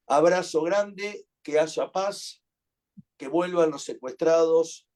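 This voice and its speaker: A man's voice: a little high, a little mellow. A man with an Argentine accent leading an online class, speaking in an earnest, reflective way that halts at times. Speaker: teacher.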